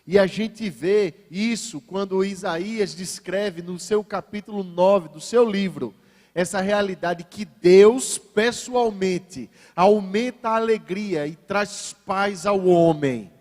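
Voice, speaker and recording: A man with a high-set voice and a Northeastern Brazilian accent preaches in a large church. His phrasing is deliberate and emphatic, built on repeated phrases. The hall adds a long reverb to his words. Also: darkish tone. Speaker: pastor preaching at a church service. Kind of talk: sermon.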